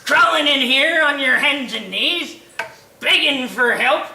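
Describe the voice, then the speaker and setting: A woman voicing a cold, gloating rich old man who mocks slowly and drags out his words. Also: high-pitched, gravelly, pushed and bright, reverberant, a little hiss or hum, pitched above the performer's own voice, full character voice. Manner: slow, mocking, drawn-out delivery.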